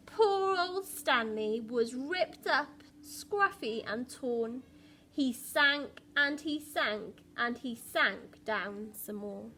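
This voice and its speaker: A woman's voice: sits high, sharp tone, a little hiss or hum. A woman primary school teacher reading a picture book expressively to young children, with dramatic emphasis and questions to the listeners.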